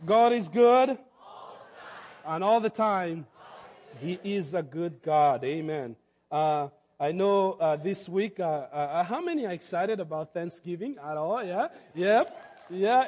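High-voiced pastor preaching in a reverberant hall. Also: male voice, darkish tone. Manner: halting, repeats words, rises to emphatic peaks. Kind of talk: sermon.

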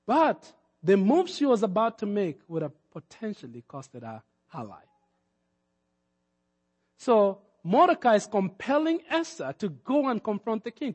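A man's voice: high voice, sing-song, moderate pace. A man from Kenya preaching a sermon, building to emphatic peaks and acting out the words of the people in his story. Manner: preaching with rising emphatic peaks and acted-out quotes. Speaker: pastor. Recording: long hall reverb.

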